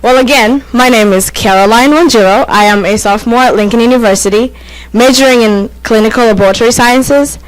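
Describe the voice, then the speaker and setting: Young woman from Kenya, polite and measured. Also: fairly high pitch, dry recording, poor audio. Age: 20s. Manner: measured, polite, slightly formal. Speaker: student liaison. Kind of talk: self-introduction at a meeting. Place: council chamber at a podium.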